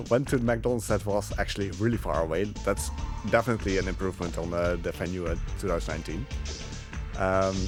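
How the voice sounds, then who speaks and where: Low-voiced man rambling over game music. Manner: halting, rambling, amused. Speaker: speedrunner. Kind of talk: casual live commentary.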